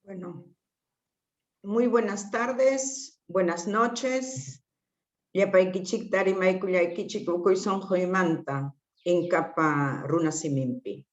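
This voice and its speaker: Slow, measured woman speaking in an echoey room. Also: lowish voice, lo-fi sound. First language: Quechua. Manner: measured and deliberate, with frequent pauses and "eh" fillers. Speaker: invited panelist. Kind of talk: formal talk on a video call.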